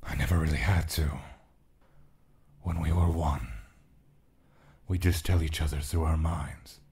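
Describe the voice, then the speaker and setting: A man playing a calm, patient ancient spirit confidant in a fantasy audio drama, measured and gently probing. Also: extremely low pitch. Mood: wistful.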